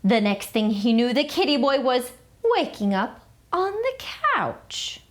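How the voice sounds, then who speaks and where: A woman reading a children's picture book aloud, telling the story in an animated, theatrical way. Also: high voice, slow.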